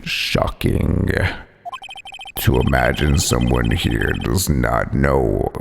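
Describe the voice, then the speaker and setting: A man voicing a stern, sneering, smug veteran prosecutor in a courtroom drama game, slow and condescending. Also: extremely low pitch, gravelly, slight background noise, exaggerated voice. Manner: slow, deliberate, condescending delivery.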